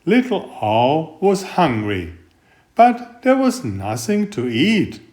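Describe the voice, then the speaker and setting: Guy reading a kids picture book super slow, voice goes up and down a lot. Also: on the high side, wildly swooping pitch. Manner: gentle, unhurried storytelling. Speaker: storybook reader. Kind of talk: slow read-aloud picture book narration.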